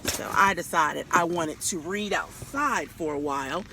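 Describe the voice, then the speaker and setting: Lady doing kids storytime outside, slow n bright, very expressive, bit of noise behind. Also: low-pitched, low-quality recording. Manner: theatrical, addresses young listeners directly. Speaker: storyteller, children's read-aloud host. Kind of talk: expressive children's story read-aloud. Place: outdoors. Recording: clear background noise, outdoors.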